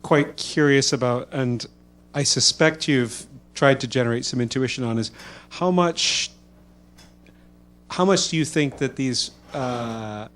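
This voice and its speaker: Man, medium pitch, audience questioner, technical discussion, tentative, thinking aloud. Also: unhurried, a bit bright. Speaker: scientist asking questions from audience.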